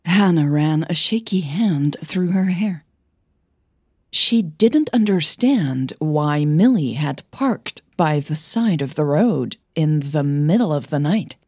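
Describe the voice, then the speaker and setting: Female narrator for audio fiction. Low, husky voice, measured and dramatic delivery. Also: animated, a little mellow, dry recording, clean studio sound.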